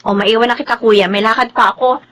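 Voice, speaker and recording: A woman's voice: on the high side, fairly even intonation, fast, fairly bright tone, highs cut off, slight background noise, natural voice. A woman playing a vain, selfish, blunt younger sister in a Filipino radio drama, sassy and dismissive, mixing in English. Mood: irritated, dismissive.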